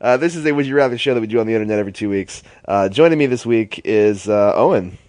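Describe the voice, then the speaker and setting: A man's voice: fairly low pitch, fast, a little mellow, no room sound. A man with a stuffy, congested voice hosting a casual podcast, laughing and swearing as he bickers with his co-hosts. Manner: laughing often, swearing, playful. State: congested from a cold. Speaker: podcast host.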